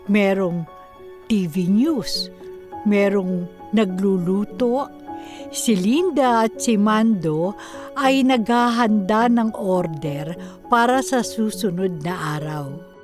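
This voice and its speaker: A woman's voice: medium pitch, takes it slowly, pauses often, darkish tone. A woman with a Filipino accent reading scripted narration for a radio drama. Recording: music behind the voice.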